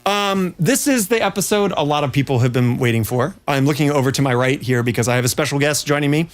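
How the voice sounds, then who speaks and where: A man's voice: fairly high pitch, fast. A man hosting a casual livestream, chatting in a playful, rambling way. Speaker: pinball stream host.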